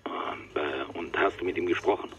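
A man's voice: low-pitched, moderate pace. A man playing a businesslike, terse police boss in a radio drama, heard through a phone filter. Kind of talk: naturalistic German radio drama acting. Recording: muffled phone call with music behind.

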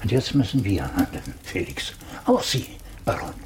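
A cultivated doctor turned investigator in a German radio play. He explains things formally and with deliberation, his pitch moving freely up and down. A faint noise sits behind the voice. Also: very animated, normal speed. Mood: grave, urgent. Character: educated gentleman investigator, a doctor, measured and deliberate. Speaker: radio drama actor.

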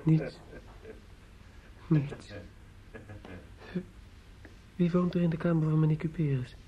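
An actor in a Dutch radio play playing a shocked, brooding young lover, halting and repeating himself in disbelief. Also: male voice, a little high, fairly even intonation, dark tone. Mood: brooding, bitter. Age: young adult. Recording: muffled, noisy old radio play recording.

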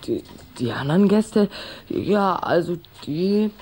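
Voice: mid-range voice, animated, takes it slowly, a little mellow, husky, full character voice. A performer playing a timid, evasive schoolboy in a children's radio play, speaking hesitantly with little stammers. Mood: sheepish. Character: timid, evasive schoolboy, awkward under pressure.

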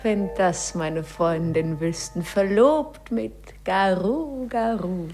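Woman acting slow, breathy, coy young sweetheart. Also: medium pitch, a little mellow, close to an everyday voice. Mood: coy, enamoured. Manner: coy, lingering, teasing. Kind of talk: 1950s German radio play acting. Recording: 1950s radio recording, music behind.

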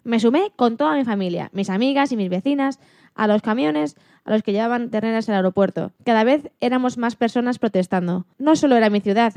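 A woman's voice: fairly high pitch, mellow, no room sound, close to an everyday voice. A woman playing an animal liberation activist and mother who tells her story earnestly in an acted radio interview, stumbling slightly now and then.

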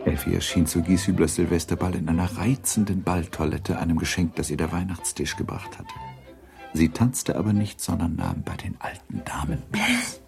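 A man narrating a period novel in a radio drama, measured and formal. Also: low-pitched, very animated, vocal fry, a little mellow, faint music behind, a faint crowd, exaggerated voice.

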